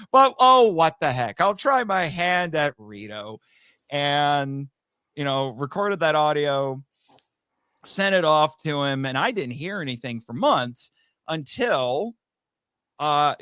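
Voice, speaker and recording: A man speaking as a podcast guest and amateur voice actor, telling stories casually in an animated, self-amused way. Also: fairly high pitch, dry recording, studio quality. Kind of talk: casual interview storytelling.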